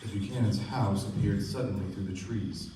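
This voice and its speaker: Man acting a radio play narrator, reflective, sober, low mellow voice, echoey distant recording. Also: normal speed, close to an everyday voice. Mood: somber. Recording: echoey, noisy stage recording from far off.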